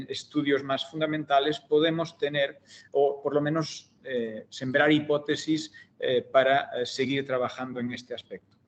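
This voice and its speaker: A middle-aged man from Galicia with a Galician Spanish accent, giving an online seminar as guest lecturer in a measured, hesitant way with frequent fillers and restarts. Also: mid-range voice, dull audio. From Galicia, Spain. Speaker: guest lecturer, optometry researcher. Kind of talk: academic seminar talk.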